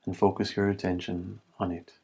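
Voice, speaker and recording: A man leading a guided body scan meditation as an instructor, giving calm, measured instructions in short, spaced phrases. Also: low voice, vocal fry, slightly rough.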